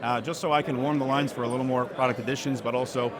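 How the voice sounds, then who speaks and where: A man's voice: medium pitch, fairly even intonation, fast. A man giving a casual product interview as a company owner, talking informally in business jargon at a trade show. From Turkey or of Turkish family. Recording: busy crowded convention hall noise. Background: loud crowd chatter.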